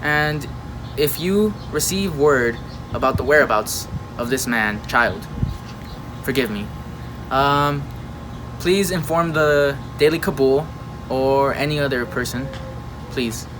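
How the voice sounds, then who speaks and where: A man playing an earnest, halting local news reporter in an amateur mock report. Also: medium pitch, close to an everyday voice. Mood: grave. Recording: noisy amateur recording, loud background hum.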